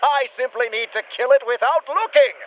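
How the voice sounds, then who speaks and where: A man's voice: very high-pitched, moderate pace, fairly bright tone, slight background noise. An actor playing a pompous, swaggering adventurer who hides his cowardice, with a bombastic radio serial delivery. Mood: heroic bluster. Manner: bombastic, grandiose, theatrical. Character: pompous swaggering male monster hunter, secretly cowardly.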